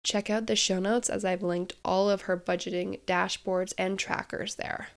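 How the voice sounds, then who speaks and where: A woman's voice: medium pitch, flat delivery, moderate pace, gravelly. A young woman hosting a casual podcast, chatty and upbeat with frequent laughs, sounding stuffed up and nasal from a head cold. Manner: chatty, upbeat, self-deprecating, laughs often. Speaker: podcast host.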